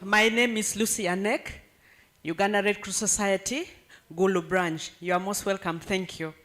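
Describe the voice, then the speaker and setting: A woman introduces herself to a meeting in a polite, welcoming way. Her voice sits at a middle pitch. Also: steady tone, normal speed, fairly bright tone, a little hiss or hum. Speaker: branch staff.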